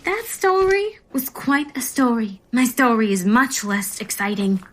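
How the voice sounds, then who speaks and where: A woman playing a blunt, deadpan policewoman in a comic audio drama. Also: fairly high pitch, measured pace. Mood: dry, blunt.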